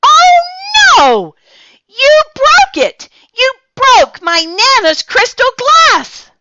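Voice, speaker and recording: A woman voicing a spoiled, excitable little girl who squeals and exclaims dramatically. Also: on the high side, takes it slowly, muffled recording, pitched above the performer's own voice, heavily acted. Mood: shocked.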